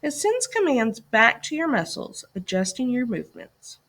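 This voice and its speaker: A woman's voice: a little high, huge pitch swings, moderate pace, a bit bright. A woman reading a scripted educational lesson aloud to children as a teacher, putting questions and directions to young listeners.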